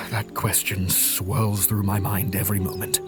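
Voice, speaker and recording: A man playing a gentle, grieving doctor in an audio drama, speaking earnestly and with measured care. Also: sits low, little pitch movement, over loud music. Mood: consoling, sad. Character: gentle, grieving Doctor Watson, caring male friend.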